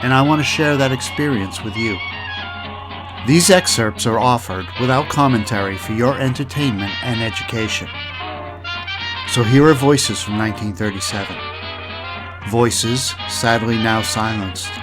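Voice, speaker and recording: A man's voice: medium pitch, normal speed, poor audio. Man narrating over music. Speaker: podcast narrator. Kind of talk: scripted narration.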